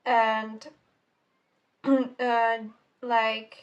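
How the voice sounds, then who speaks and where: A woman's voice: a little high, flat delivery, extremely slow, smooth, muffled recording. A teenage girl whose first language is Czech, casually reviewing a book in a rambling, self-correcting way with casual swearing. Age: teens. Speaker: book vlogger.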